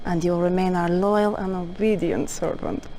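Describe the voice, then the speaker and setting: An actress playing a scheming, self-assured agent of a secret brotherhood in a video game cutscene, speaking in a measured, portentous, commanding manner. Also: female voice, on the low side, steady tone, warm tone, close to an everyday voice. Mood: coolly commanding. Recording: noisy old game cutscene audio.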